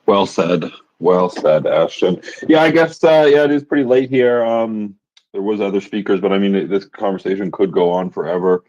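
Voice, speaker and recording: Deep-voiced man on dull lo-fi audio. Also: normal speed, darkish tone. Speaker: host of the online audio room.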